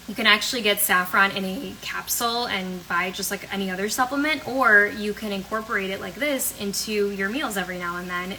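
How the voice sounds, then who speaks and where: A woman's voice: medium pitch, pushed and bright. A young woman hosting a casual cooking demo at home, chatting in an upbeat, rambling way with lots of fillers. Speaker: cooking and health coach host. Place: home kitchen. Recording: noisy kitchen recording. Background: pans and utensils clattering.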